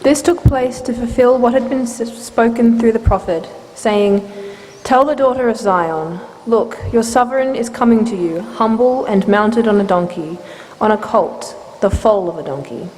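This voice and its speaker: A woman's voice: fairly high pitch, normal speed, a little mellow. Woman narrating scripture in an echoing church hall. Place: large church, live reading. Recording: reverberant church hall, faint noise.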